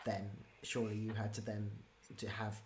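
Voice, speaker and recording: A woman's voice: rumbling low, little pitch movement, moderate pace, a little mellow. A woman interviews in a loose, chatty way. She rambles and cuts into her own sentences, and faint room ambience and noise sit behind her voice. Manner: informal, rambling, self-interrupting. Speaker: interviewer, podcast creator. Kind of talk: casual interview chat. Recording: clear ambient background noise.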